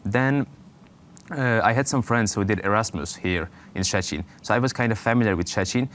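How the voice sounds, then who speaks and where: A young man with a Turkish accent and a fairly low voice answers interview questions in English. He hesitates often, with plenty of "uh" and "like" as he restarts his sentences. Faint background noise sits under his voice. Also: moderate pace, a bit bright. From Turkey. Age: 20s. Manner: hesitant, many fillers and restarts. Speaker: guest.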